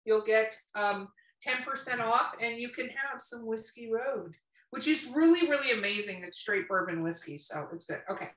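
A woman's voice: a little high, moderate pace, a bit bright. A woman hosting a podcast in a casual, playful, exclamatory interview style over drinks. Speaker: podcast host. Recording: roomy echo.

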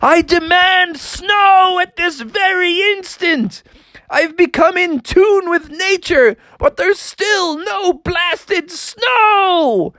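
An excitable young male frog, high and bright, who gets eager and impatient and starts hollering at the sky, as a storyteller puts him on. He sounds like a bedtime-story frog with big plans, and his voice sits well above the narration. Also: slow pace, no echo, poor audio. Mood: demanding.

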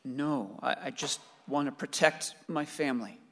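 An actor playing an earnest, nervous family man who speaks haltingly in a radio play. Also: medium pitch, a bit bright. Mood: timid, earnest. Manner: halting, stammering. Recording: reverberant hall sound.

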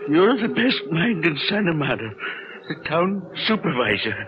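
A man's voice: a little high, sing-song, lo-fi sound. An actor playing a frightened, bewildered old man who stammers and doubts his own sanity in a 1940s radio drama. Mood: troubled, weary. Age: older. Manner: stammering, halting, agitated. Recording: noisy, band-limited old radio recording.

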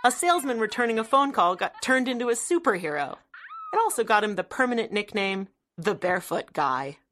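A woman's voice: medium pitch, sing-song, a little fast, a bit bright, a little hiss or hum. A woman narrating a scripted, dramatized audiobook as a storyteller.

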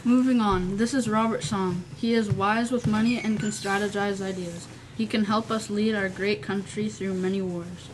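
A woman's voice: medium pitch, steady tone, moderate pace, few pauses, close to an everyday voice. Young woman as a town announcer, noisy recording. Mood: formal, presenting. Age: young adult. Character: formal announcer presenting candidates to ancient Athens. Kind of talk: amateur old-fashioned radio play acting. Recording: clearly noisy amateur recording with clicks.

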